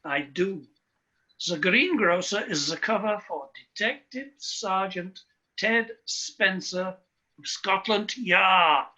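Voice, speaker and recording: An actor in a radio play voicing a deliberate informant in a theatrical style. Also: male voice, high-pitched, bright, forceful tone, full character voice. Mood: deliberate, revealing.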